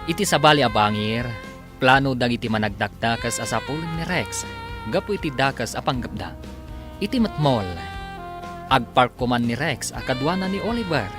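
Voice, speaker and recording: A man's voice: medium pitch, animated, normal speed. A man with a Filipino accent reading dramatic scripted narration as the announcer of a radio drama. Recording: music bed under radio narration.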